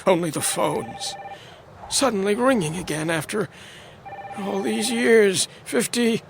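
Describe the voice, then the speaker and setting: An actor playing a lonely, weary old man in a radio play, brooding and agitated in a halting monologue. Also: high voice, vocal fry, slight background noise, heavily acted. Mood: anxious, rising panic. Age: older. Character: lonely, weary, haunted old man, last one left. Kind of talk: dramatic radio play acting.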